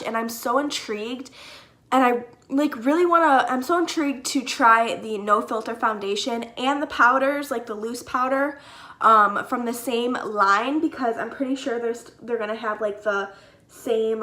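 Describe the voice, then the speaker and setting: Young female beauty vlogger, higher voice, slightly congested, chatty and filler-heavy. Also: pushed and bright. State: stuffy from a cold. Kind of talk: casual makeup tutorial.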